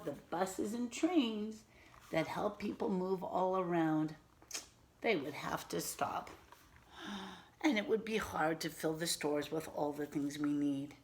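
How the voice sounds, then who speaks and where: A woman reading a picture book aloud to children as a teacher, expressively and patiently, pausing to explain and ask questions. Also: on the low side, a bit bright. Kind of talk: animated read-aloud with simple explanatory asides.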